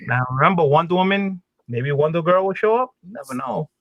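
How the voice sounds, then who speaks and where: A man's voice: high voice, sing-song, brisk, gravelly, no room sound. A man hosting a casual live chat in an easygoing, loosely phrased conversational way, with muffled sound.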